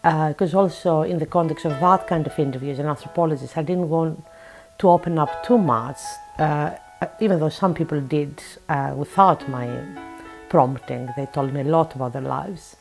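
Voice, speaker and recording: Low-voiced Greek-born woman, thoughtful. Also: normal speed, a little mellow, faint music behind, rough recording. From Greece. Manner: reflective, thoughtful, with frequent ums and uhs. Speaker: author and psychotherapist. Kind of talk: interview answers. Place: her office.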